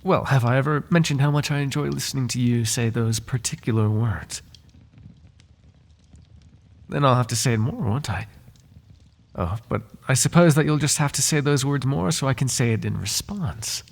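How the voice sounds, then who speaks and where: A man's voice: mid-range voice, moderate pace, vocal fry, lightly acted. A man playing a devoted, lovesick butler in an intimate ASMR roleplay, flirting with his master in formal, courtly, teasing and tender words. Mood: adoring, lovestruck.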